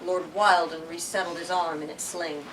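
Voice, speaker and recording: A woman hosting a book talk, reading and explaining dramatically with animated, emphatic, theatrical delivery. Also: lowish voice, moderate pace, distant mic, crowd noise, poor audio. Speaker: book talk host and reader.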